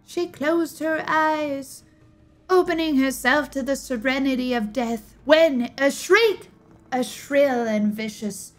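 High-voiced young woman, dramatic narrator. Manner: theatrical, drawn-out emphasis with pauses. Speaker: streamer reading game lore aloud. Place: small indoor room, livestream setup. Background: music.

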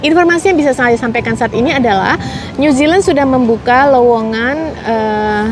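A woman's voice: fairly high pitch, moderate pace, a crowd in the background. A woman in her forties from Indonesia, chatting casually to viewers on a city street and answering their comments, with many fillers, through a lapel mic. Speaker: vlogger. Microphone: clip-on lapel mic. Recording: outdoor street noise behind.

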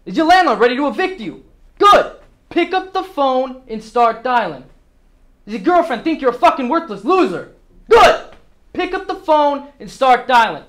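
A man's voice: high voice, a little fast, natural voice. A man performing a motivational tirade as a brash, domineering rich sales boss, cocky and emphatic. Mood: fired up.

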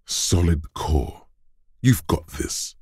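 Domineering inner voice of muscle, game narration, heavily rasping, dry close studio sound, deliberate tough talk. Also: male voice, animated, darkish tone, no echo, exaggerated voice. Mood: harsh, goading. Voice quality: very deep, gravelly, raspy. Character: gruff, domineering inner voice of brute physical strength. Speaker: video game character narrator. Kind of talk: scripted game narration, dramatic second-person.

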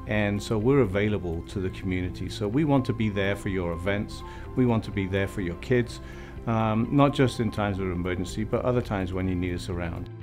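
A middle-aged fire chief from Wales with a light Welsh accent, talking earnestly to camera in a studio interview, with "uh" fillers. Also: male voice, fairly low pitch. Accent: Welsh, softened by years in America. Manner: earnest, upbeat, with frequent "uh" fillers.

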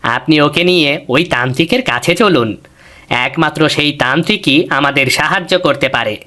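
A man voicing an excitable, scheming villager who talks in an alarmed, deferential way. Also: on the high side, moderate pace. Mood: alarmed, urging. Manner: animated, alarmed.

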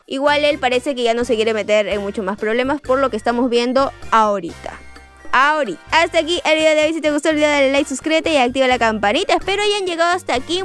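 A woman hosting a gossip show, narrating in a chatty, teasing way. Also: high-pitched. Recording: voice-over with light background music.

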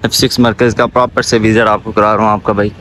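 Young male vlogger, Pakistani accent, quick casual chatty delivery, mid pitch, noisy street recording. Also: little pitch movement, a bit bright. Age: 20s. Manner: chatty, addresses viewers informally as buddies. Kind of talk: casual walking-tour vlog. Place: outdoors on busy city streets. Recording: clear outdoor street and traffic noise.

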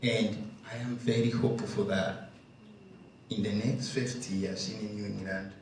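A man with a Kenyan accent talks slowly and thoughtfully, pausing as he works through his answer. His lowish voice rings out through a large wooden barn hall. It is caught from across the room, with faint room noise underneath. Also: steady tone, a little mellow. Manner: slow, thoughtful, halting. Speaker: panelist, farmer. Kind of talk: panel discussion remarks. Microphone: handheld mic, far camera. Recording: echoey barn from far off.

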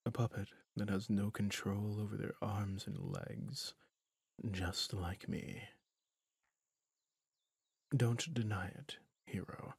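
A man playing a lonely, weary fantasy villain in an intimate ASMR roleplay, breathy and husky, often near a whisper, brooding and tender as he confides. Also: fairly low pitch, fairly even intonation, darkish tone, no room sound, clean studio sound, heavily acted. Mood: resigned, confiding. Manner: slow, brooding, confiding with pauses.

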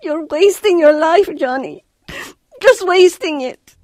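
A woman's voice: very high-pitched, expressive, slow, a little mellow, no room sound, studio quality, full character voice. An actress playing a loving, worried mother of teenage sons in a radio drama. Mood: dismayed.

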